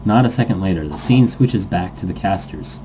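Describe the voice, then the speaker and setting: Very dark, mellow-voiced man narrating. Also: low voice, expressive, fairly quick, a little hiss or hum. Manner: stumbles over text and self-corrects, goofy. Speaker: let's player reading a visual novel aloud. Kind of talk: story narration read aloud with playful asides.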